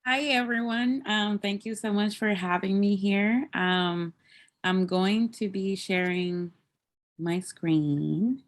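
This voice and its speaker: A woman giving an informal online presentation as a guest speaker, thoughtful and halting, with many small filler phrases. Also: medium pitch. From Puerto Rico. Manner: halting, thoughtful, peppered with "like" and "you know". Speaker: guest speaker, climate scientist. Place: video call.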